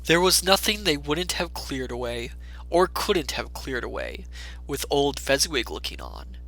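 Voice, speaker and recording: Animated, unhurried male storybook narrator. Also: on the high side, creaky, low-quality recording. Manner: deliberate, dramatic phrasing.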